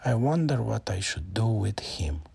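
A storyteller voicing a slow, sleepy male bear who hums and muses quietly to himself in a children's tale, with a gravelly, husky edge. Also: mid-range voice, lively intonation, no room sound, full character voice. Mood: musing. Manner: quiet, musing, hums before speaking.

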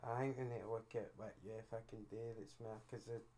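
Man, Scottish accent, co-host, flat even voice, matter-of-fact. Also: on the low side, a little fast, slight background noise. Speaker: podcast co-host. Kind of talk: casual chat.

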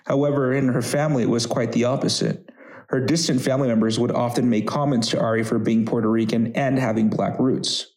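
Male podcast narrator, average pitch, formal scripted read linking parts of a personal story. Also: steady tone, a little fast, a little mellow, poor audio.

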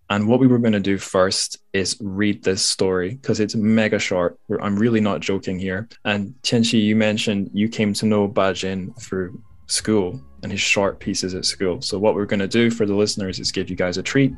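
A man's voice: on the low side, steady tone, moderate pace. A man from Scotland hosting a podcast and chatting casually about books, often correcting himself as he goes. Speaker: podcast host. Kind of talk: casual book discussion.

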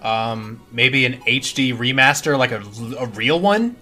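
A man's voice: medium pitch, expressive, a little slow, sharp tone, faint music behind. A man co-hosting a podcast in casual chat, informal and self-deprecating with some mild swearing. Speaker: podcast co-host.

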